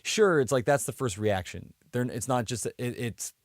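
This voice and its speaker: A man's voice: mid-range voice, expressive, normal speed, no room sound, studio quality. A man co-hosting a podcast, chatting casually and thinking aloud with plenty of hedging. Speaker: podcast co-host. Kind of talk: casual chat.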